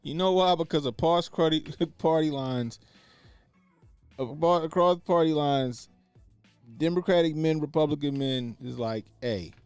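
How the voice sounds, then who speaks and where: Crude, loud-swinging man reacting on stream. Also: on the high side, a little slow, smooth, dry recording, faint music behind. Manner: crude, laughing, swings from mumbled asides to loud outbursts. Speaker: stream host. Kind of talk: casual reaction commentary.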